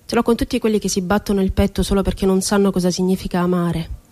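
An actress performing a theatrical monologue as an impassioned, rebellious, poetic woman, declaiming with deliberate, emphatic phrasing. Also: mid-range voice, fairly even intonation, mellow, close to an everyday voice. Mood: bitter, indignant. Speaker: stage actress.

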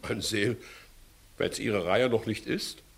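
An actor playing an evasive, flustered village judge who swings theatrically between bullying and coaxing in a classic German radio comedy. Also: male voice, on the low side, takes it slowly, creaky. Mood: flustered, muttering. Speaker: judge in a courtroom.

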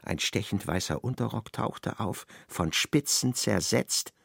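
A man with a low, creaky voice narrating a literary radio drama. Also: animated, unhurried, a bit bright, no room sound, clean studio sound.

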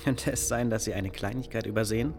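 A man's voice: fairly low pitch, fast, lightly acted. An actor playing a persistent young man who challenges officials in a radio drama, probing and dryly ironic. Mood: challenging. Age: young adult. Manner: insistent, probing, dry irony. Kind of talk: German radio drama dialogue. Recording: long hall reverb, music underneath.

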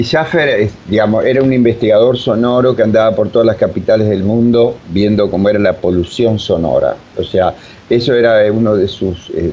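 An Argentine man explaining as an interview guest, speaking haltingly and repeating words as he searches for phrasing. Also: fairly low pitch. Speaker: interview guest, radio drama director. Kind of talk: spontaneous explanatory interview talk. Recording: dull lo-fi audio, clear noise.

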